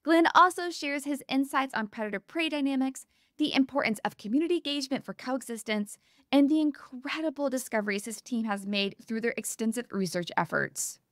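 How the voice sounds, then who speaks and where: Woman, podcast host, enthusiastic, chatty, slightly high voice, dry close sound. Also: a bit bright, dry recording. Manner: upbeat, enthusiastic, fast-talking. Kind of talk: casual interview.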